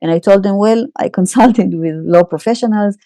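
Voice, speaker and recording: A middle-aged woman with an Israeli accent, a psychoanalyst guest in an interview, telling her story candidly with halting pauses and wry laughs. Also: mid-range voice, dark tone, no room sound.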